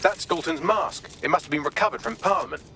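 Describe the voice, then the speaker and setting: A man's voice: a little high, fast talker, vocal fry, noisy background. A British man playing a witty, sardonic artificial intelligence assistant in a video game, articulate and breezy with dry sarcasm. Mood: brisk, informative.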